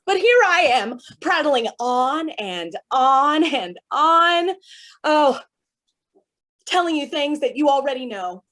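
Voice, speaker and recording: A woman's voice: sits high, big pitch swings, takes it slowly, fairly bright tone, full character voice. A woman playing a chatty, theatrically polite and menacing villainess in a staged play reading. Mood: gleeful, menacing. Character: manic, chatty villainess with smeared mime makeup.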